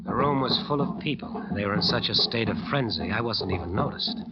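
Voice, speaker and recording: A man's voice: lowish voice, lively intonation, a little fast, close to an everyday voice. An actor playing a driven, ambitious musician who narrates his nightmare dramatically in an old radio drama. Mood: tense. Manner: dramatic, intense storytelling. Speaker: narrator and lead character. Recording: dull old radio recording, music behind.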